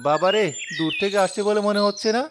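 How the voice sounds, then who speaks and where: Man voicing a high-pitched, puzzled village husband. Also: fast talker, background noise, natural voice. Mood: suspicious. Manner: questioning, wondering aloud. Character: mild, puzzled, suspicious young village husband. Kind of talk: Bengali cartoon story voice acting.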